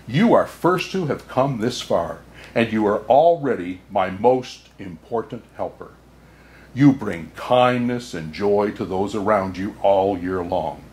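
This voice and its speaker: Kindly old Santa played by a man for storybook reading; slow pace, very animated pitch. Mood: tender, grateful. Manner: unhurried, chuckling asides, expressive storytelling.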